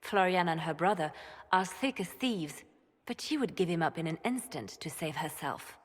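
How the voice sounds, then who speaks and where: Low, ruthless woman adviser. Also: lively intonation, moderate pace, slightly rough. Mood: cool, insistent. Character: cool, ruthless female political adviser. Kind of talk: fantasy game dialogue. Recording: long hall reverb, music behind.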